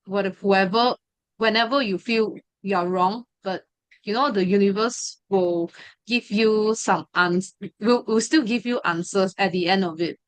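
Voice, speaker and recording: A woman's voice: mid-range voice, a little slow, no room sound, low-quality recording. Hesitant young woman, dry sound. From Malaysia. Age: 20s. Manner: hesitant, full of fillers and restarts. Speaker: study group member sharing her story. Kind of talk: personal testimony.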